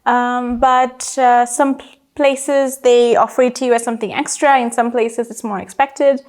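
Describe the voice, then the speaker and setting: A young adult woman, a guest doctor on a podcast, making her points in a measured way and qualifying them as she goes. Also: fairly high pitch, little pitch movement, darkish tone. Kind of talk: casual podcast interview.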